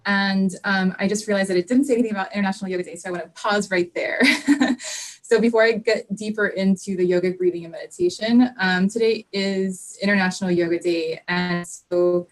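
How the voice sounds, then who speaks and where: Female yoga teacher on a video call, gentle unhurried guidance, mid-pitched, frequent fillers. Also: steady tone. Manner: soft, unhurried guiding with many fillers. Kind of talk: live online class, guided reflection.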